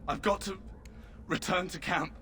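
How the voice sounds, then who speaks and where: An actor playing a badly wounded soldier in a video game, speaking weakly and desperately. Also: male voice, sits high, fairly even intonation, moderate pace, sharp tone, a little hiss or hum. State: wounded, weak.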